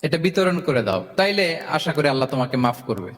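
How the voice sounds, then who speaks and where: A man's voice: on the high side, very animated, a little fast, a bit bright. A Bangladeshi man preaching an Islamic sermon to an audience, emphatic and engaging, repeating phrases, posing questions and mixing in Arabic and English. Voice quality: mixes in Arabic quotations and English words. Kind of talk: sermon to a live audience, storytelling with rhetorical questions. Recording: long hall reverb.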